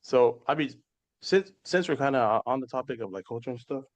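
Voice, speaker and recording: A man's voice: medium pitch, lively intonation, fairly quick. A man hosting a podcast, asking questions in a chatty way full of fillers and "right?" checks. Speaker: podcast host. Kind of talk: casual podcast interview.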